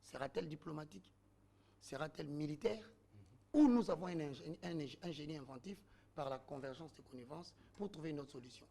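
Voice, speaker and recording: A man's voice: a little high, fairly quick, gravelly, dark tone. A man, arguing his point as a guest in a heated panel debate, oratorical and full of rhetorical questions.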